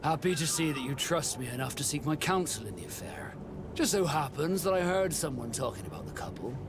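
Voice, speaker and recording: A man playing a proud, guarded sea captain in a video game, speaking in a formal, measured, dry way. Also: on the high side, lively intonation, background noise. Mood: wry, guarded.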